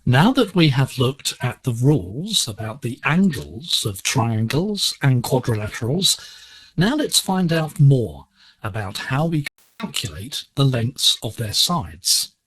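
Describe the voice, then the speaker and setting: A man presenting a scripted educational lesson on shortwave digital radio, explaining in a measured, deliberate way. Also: medium pitch, very animated, rough recording. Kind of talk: scripted instructional narration.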